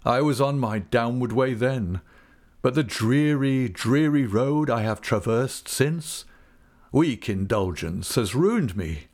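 Man acting an anguished, broken older gentleman, pitched high. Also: lively intonation. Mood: anguished, self-loathing. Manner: impassioned, lamenting, drawn-out.

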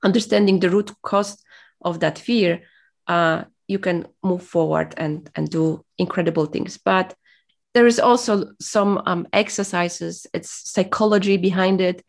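A woman's voice: lowish voice, fairly even intonation, unhurried, gravelly. A woman whose first language is Polish, speaking as an interview guest in a reflective, motivational way, hesitant and full of fillers as she searches for words.

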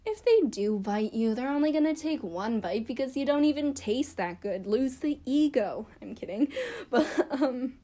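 Young woman, casual vlog chat, rambling with fillers, self-deprecating, slightly high, mellow tone. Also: expressive, moderate pace. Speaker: vlogger. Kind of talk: casual chatty storytelling.

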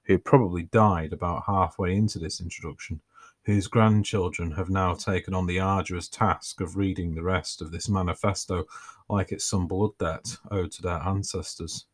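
A man reads his own writing for his podcast. His voice sits very low, and his delivery is relaxed, with a dry, self-mocking humour. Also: moderate pace. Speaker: podcast host and author.

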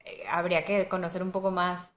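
Woman co-host, low voice, hesitant. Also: little pitch movement, brisk, fairly bright tone. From Mexico. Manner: hesitant, searching for words. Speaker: podcast co-host. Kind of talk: casual book chat.

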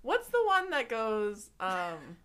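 A woman's voice: a little high, huge pitch swings, takes it slowly, bright, forceful tone. A young woman guesting on a casual podcast, bubbly and a bit sheepish, laughing often. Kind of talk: casual chat.

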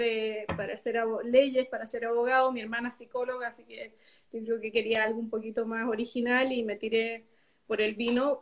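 Female interview guest, Chilean accent, relaxed informal talk, fairly high pitch, speaking into a tablet. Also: muffled recording. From southern Chile. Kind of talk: casual chat. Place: at home on a video call. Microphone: tablet on a video call.

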